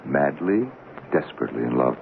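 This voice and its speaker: An actor playing a calm, protective family friend who speaks in a soothing, measured way and gently insists, in 1970s radio drama style. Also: male voice, extremely low pitch, creaky, exaggerated voice. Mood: concerned. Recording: tinny, hissy old radio broadcast.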